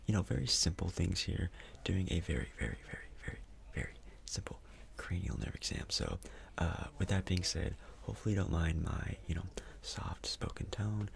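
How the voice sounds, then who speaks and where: A man speaking softly, close to a whisper, in an ASMR doctor role-play, with a casual, hesitant, reassuring manner, close to the microphone. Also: deep voice, expressive, normal speed, gravelly, few pauses.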